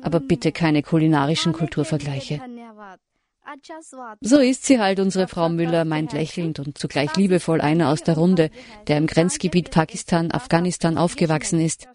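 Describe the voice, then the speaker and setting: A woman narrating a radio feature in a reflective, questioning, measured style. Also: sits low, warm tone. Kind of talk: scripted documentary narration.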